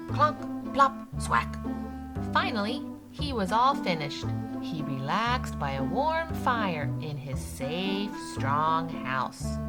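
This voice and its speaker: A woman reading a children's story aloud in an expressive, playful way, with music behind her. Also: fairly low pitch. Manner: expressive, playful sound words. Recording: loud music under the voice.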